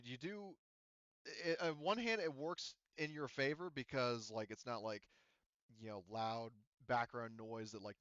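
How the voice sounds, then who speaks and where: A man running a tabletop roleplaying game as game master, explaining things in a halting way. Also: mid-range voice, a bit bright, clear, resonant tone, no room sound, lo-fi sound.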